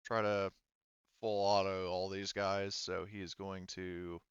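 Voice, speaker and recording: A man's voice: low voice, flat delivery, slow pace, smooth, no echo. A man running a tabletop roleplaying game, casually narrating and making rulings as he thinks aloud, on a slightly dull microphone. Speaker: game master.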